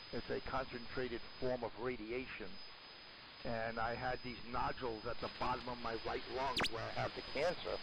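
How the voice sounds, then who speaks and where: A man's voice: mid-range voice, vocal fry. An older man telling stories casually over an amateur AM radio transmission. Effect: AM shortwave radio transmission. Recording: hissy, noisy AM radio reception. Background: radio hiss.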